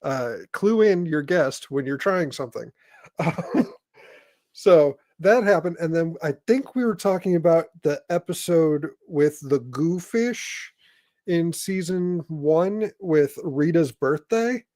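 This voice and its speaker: American male host, slightly high, dry sound. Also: animated, takes it slowly, a little mellow, no room sound. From Indiana, United States. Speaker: podcast host. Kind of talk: casual interview chat.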